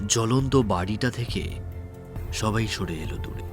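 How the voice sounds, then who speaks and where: A man narrates an audio story in a scripted, dramatic style. His voice is on the low side. Faint music plays beneath him. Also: lively intonation, measured pace. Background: faint background music.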